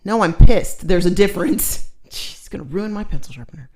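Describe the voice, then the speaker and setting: A woman's voice: fairly low pitch, expressive, normal speed, a little mellow. A woman co-hosting a casual live stream, reading out viewer comments and bantering bluntly with her co-host. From United States.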